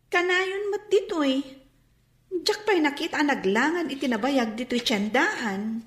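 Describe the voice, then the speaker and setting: Observant, high-voiced young relative. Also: female voice, lively intonation, a little slow, reverberant. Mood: earnest. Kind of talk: radio drama acting.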